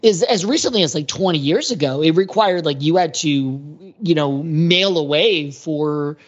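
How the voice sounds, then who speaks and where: A man's voice: a little high, unhurried. Middle-aged man chatting on a dull, lo-fi recording. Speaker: podcast panelist. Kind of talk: casual chat.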